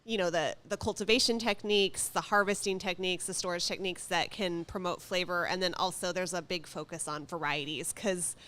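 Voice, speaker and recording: A woman's voice: fairly low pitch, fairly even intonation, brisk, few pauses, fairly bright tone. A woman speaking as a guest in a casual radio interview, chatting and explaining things. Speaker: guest, gardening author and former magazine editor.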